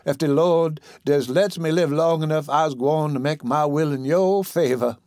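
Man putting on a weak, high-pitched old widow. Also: moderate pace, a little mellow, no echo, studio quality, close to an everyday voice. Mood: earnest, weary. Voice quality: weak, plaintive voice. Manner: Southern folksy dialect. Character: weak, sickly old widow, pious and resigned.

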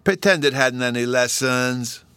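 A man's voice: mid-range voice, normal speed, sharp tone, no echo, pitched above the performer's own voice, lightly acted. A man voicing an eager, insistent little boy with childish grammar, interrupting a storybook tale in an earnest way. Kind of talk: storybook character voice in audiobook reading.

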